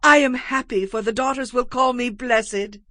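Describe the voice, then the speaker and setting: A proud, yearning wife and mother played by a woman in an audio scripture drama. Her voice is high and piercingly bright. She declaims with open emotion. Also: steady tone, moderate pace, dry recording, studio quality, lightly acted. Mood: joyful.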